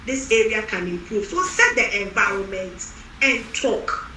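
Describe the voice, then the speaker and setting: A woman on a panel gives advice in a measured, deliberate way. She speaks very slowly, and her voice is bright and fairly high. The distant mic picks up the echo of a large room. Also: lively intonation, slight background noise. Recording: roomy hall from a distant mic.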